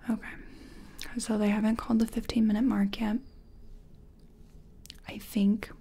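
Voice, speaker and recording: A woman speaking softly, mostly in whispers, in an ASMR makeup-artist roleplay, murmuring step by step as if to a client. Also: mid-range voice, little pitch movement, unhurried, vocal fry, a little hiss or hum. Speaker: ASMR creator role-playing a makeup artist. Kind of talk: soft-spoken ASMR personal attention roleplay.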